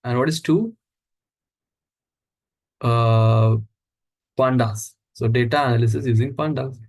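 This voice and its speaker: A man's voice: medium pitch, slow pace, a little mellow, rough recording. Man teaching a live coding class. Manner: explains step by step with questions to the class. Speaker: instructor.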